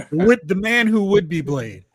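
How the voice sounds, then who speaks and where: A man's voice: high voice, big pitch swings, slow, dry recording. A man hosting a movie talk show, chatting casually and jokingly about films and recapping news.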